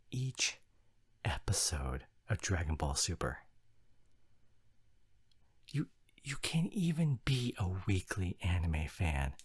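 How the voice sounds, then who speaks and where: Male actor as snobbish young geek boyfriend for audio roleplay: low, husky, exasperated, halting but emphatic. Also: heavily acted. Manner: halting, repeats words, sighing emphatic rant. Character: exasperated, flustered young anime fan boyfriend, opinionated and snobbish. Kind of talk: ASMR boyfriend roleplay monologue, argumentative.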